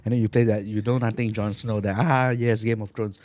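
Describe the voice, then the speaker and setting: A man co-hosting a podcast, easygoing in casual chat and often trailing off quietly. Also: on the low side, little pitch movement, brisk, slight background noise. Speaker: podcast co-host.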